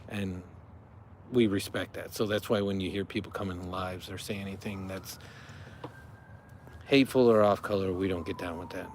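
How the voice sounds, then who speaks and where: A middle-aged man from the Chicago area talks through a casual outdoor livestream, easygoing and explanatory. His voice sits a bit low, picked up by a phone on a small stand. Faint outdoor noise hums underneath. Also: fairly even intonation, normal speed, long pauses. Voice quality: explanatory, easygoing, rambling with fillers. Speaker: livestream host, father. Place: outdoors in a front yard by a fire.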